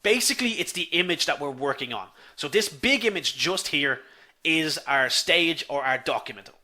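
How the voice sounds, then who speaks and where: A man's voice: fairly high pitch, animated, normal speed, sharp tone. A man with a Dublin Irish accent teaches a live online class, chatty, calling his viewers folks and reading their comments aloud. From Ireland. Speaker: online instructor.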